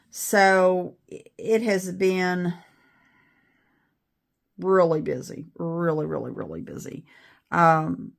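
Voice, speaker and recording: A middle-aged woman with a raspy voice after an illness, chatting casually and rambling a little while she shows how to make a beaded bracelet. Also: lowish voice. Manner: chatty, rambling, self-deprecating. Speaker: beading video host. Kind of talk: casual tutorial chat.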